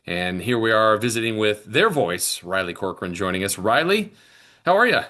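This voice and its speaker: A man's voice: fairly low pitch, sing-song, normal speed, sharp tone. A man hosting a sports podcast, asking questions in a casual, conversational interview style.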